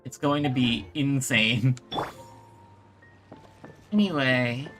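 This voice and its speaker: Let's play streamer: informal, reactive, sharp bright tone, game music underneath. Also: mid-range voice, drawn-out words. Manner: informal, reactive, reads game lines aloud. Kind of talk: casual live let's play commentary.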